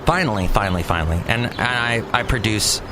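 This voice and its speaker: A man's voice: lowish voice, lively intonation, a little fast. Rambling male podcaster out on the street. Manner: rambling, opinionated, stumbles over words. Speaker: podcast host. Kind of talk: casual news commentary. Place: outdoors near a cafe. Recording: outdoor street noise. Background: traffic and city ambience.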